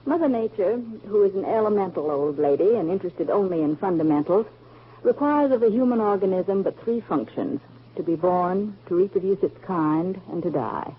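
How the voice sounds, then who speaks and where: An actress playing a thoughtful grown woman in 1940s American radio drama, reciting in a measured, poetic way. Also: mid-range voice, animated, slight background noise, natural voice. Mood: reflective, reverent. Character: thoughtful grown woman Hazel of a family saga. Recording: muffled old 1940s radio recording.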